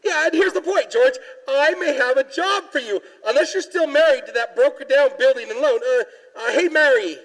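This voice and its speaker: A man's voice: extremely high pitch, normal speed, hardly any pauses, a bit bright. A man playing a breezy, boastful young businessman in a 1940s radio drama, hearty and pushy. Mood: eager, boastful. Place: large church, before an audience. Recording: live church performance, long hall reverb.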